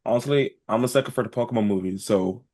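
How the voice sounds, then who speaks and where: A young man with a fairly low voice chats on a podcast. His delivery is informal and slangy, with a blunt way of putting things. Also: fast talker, darkish tone. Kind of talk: casual podcast chat.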